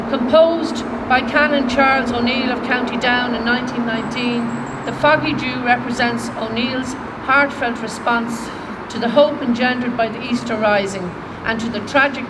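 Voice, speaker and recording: Irish female master of ceremonies, fairly high voice, solemn and deliberate, recorded from a distance outdoors. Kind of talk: formal commemoration speech. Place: outdoor memorial garden ceremony. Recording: distant outdoor microphone, clear background noise.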